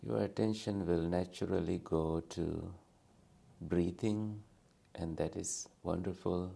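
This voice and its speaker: A man leading a guided meditation as a teacher, speaking gently and soothingly in short phrases with long pauses between them. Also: deep voice, steady tone, vocal fry.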